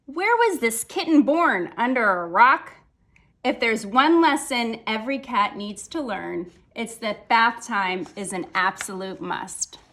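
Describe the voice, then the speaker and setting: A woman's voice: a little high, expressive, unhurried, a bit bright. A woman voicing a fussy papa cat who bosses kittens around in a storybook, scolding and emphatic. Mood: fussy, exasperated.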